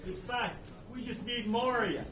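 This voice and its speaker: A man's voice: very high voice, moderate pace, background noise. A man in the audience at a comedy show, casually chatting and calling out toward the stage. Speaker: audience member. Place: live comedy venue audience. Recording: echoey room, distant, crowd around.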